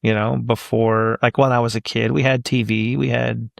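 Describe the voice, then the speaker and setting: A man's voice: mid-range voice, level tone, normal speed, vocal fry, dry recording, studio quality. A man in his thirties, a voice actor guest on a podcast, talking casually and thinking aloud with plenty of fillers.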